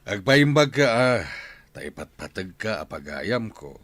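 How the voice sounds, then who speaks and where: An actor playing a courteous, forgiving village man in a radio drama, measured and reassuring. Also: lowish voice, very animated, fairly bright tone, dry recording, full character voice.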